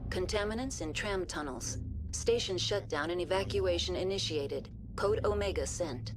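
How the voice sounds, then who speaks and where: A woman's voice: lowish voice, moderate pace, background noise, close to an everyday voice. Polite, impassive female station AI played by an actress. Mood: calm, impassive. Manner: formal, procedural, clipped system announcements. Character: polite, unemotional female AI running a research station. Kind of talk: scripted video game dialogue.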